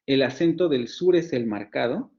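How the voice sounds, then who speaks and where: A man's voice: mid-range voice, lively intonation, normal speed, darkish tone. A man with a Mexican Spanish accent, a university phonetics professor giving an online lecture, explaining with frequent fillers, restarts and rhetorical questions. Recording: dull lo-fi sound.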